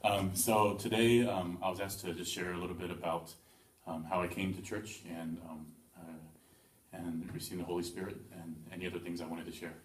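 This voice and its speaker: A young man born in Taiwan giving a hesitant personal testimony at church, with many pauses and restarts. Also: deep voice, fairly even intonation, a little hiss or hum. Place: church hall. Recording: echoey room from far off.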